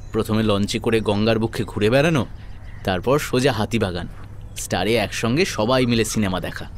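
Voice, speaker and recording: A man with a Kolkata Bengali accent playing an earnest, lovelorn young man in an audio story, speaking wistfully with dramatic pauses. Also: on the low side, a little hiss or hum, close to an everyday voice. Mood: nostalgic. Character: lovelorn, self-sacrificing young man devoted to his friend. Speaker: narrator and lead actor in an audio story. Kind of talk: dramatic first-person audio story narration and dialogue. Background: background music.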